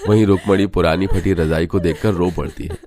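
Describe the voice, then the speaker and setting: A man's voice: sits low, steady tone, brisk, a little mellow, faint music behind. A man narrating a scripted cartoon story.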